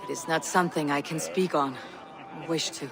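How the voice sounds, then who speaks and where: A woman's voice: sits low, steady tone, moderate pace, a crowd in the background. An actress playing a loyal, steadfast Viking warrior woman, direct and wry, in video game dialogue. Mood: wry, friendly. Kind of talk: dramatic game cutscene dialogue.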